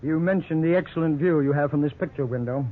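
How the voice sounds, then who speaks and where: A frank, matter-of-fact male house inspector, played by an actor, deliberate and thorough in 1950s radio drama style. The voice is slightly high, on a tinny broadcast with faint noise. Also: lightly acted.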